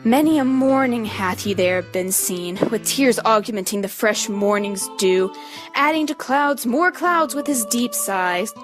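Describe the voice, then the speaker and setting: Fretful noble father voiced by a woman, high-pitched, formal measured verse, amateur classical reading, light music underneath. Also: expressive. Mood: troubled. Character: worried noble father fretting over his secretive son. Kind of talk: amateur dramatic reading of Shakespeare.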